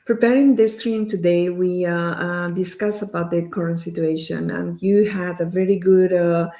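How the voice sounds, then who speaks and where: A woman hosts a live stream conversation. Her phrasing is halting and loosely strung together, and her voice carries a noticeable room echo around it. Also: lowish voice, steady tone, mellow. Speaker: host of a livestream. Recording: echoey room sound.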